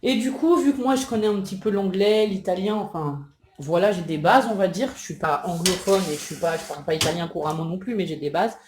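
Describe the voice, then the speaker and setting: A woman's voice: fairly low pitch, fairly quick, darkish tone, reverberant, a little hiss or hum. A mother vlogging from home in a casual, rambling way, with many fillers and self-corrections. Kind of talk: casual chat to camera.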